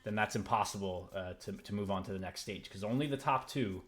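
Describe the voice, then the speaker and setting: A man casting a strategy game match, his voice sitting a little low. He lays out tournament rules patiently and breaks into small laughs between calls. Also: brisk, fairly bright tone. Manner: explains rules at length, chuckles often. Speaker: tournament caster. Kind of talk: game commentary.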